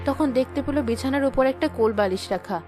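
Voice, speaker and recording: A woman's voice: sits high, fast talker. Woman narrating with music behind. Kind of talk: scripted story narration.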